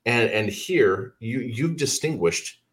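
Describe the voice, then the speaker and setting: A man hosting a talk show, giving deliberate conversational commentary and often repeating words as he hesitates. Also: medium pitch, lively intonation.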